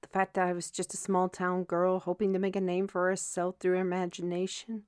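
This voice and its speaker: A woman's voice: on the low side, completely flat delivery, a little fast, darkish tone, clear, resonant tone, no echo, clean studio sound, lightly acted. A woman playing a troubled, self-reproaching young writer in an intimate audio drama, speaking in a hesitant, weary way. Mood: anguished.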